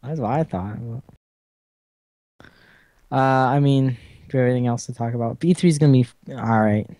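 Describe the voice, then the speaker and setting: A man's voice: medium pitch, moderate pace, mellow, dry recording. A young man co-hosting a podcast in casual chat, joking, swearing and laughing often. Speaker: podcast co-host.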